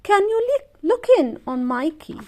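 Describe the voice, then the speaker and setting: A woman's voice: sits high, big pitch swings, a little slow, darkish tone, voice pushed up. A woman voicing a firm, caring mother of young children in a storybook, speaking emphatically. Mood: calling gently.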